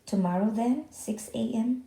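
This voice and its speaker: A woman's voice: fairly high pitch, a little slow, vocal fry, dark tone, reverberant, poor audio. A woman voicing a brusque, emphatic man, an employer in a storybook. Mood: calmer, conceding. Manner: emphatic, blunt. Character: Ben, brusque man who hires gardeners.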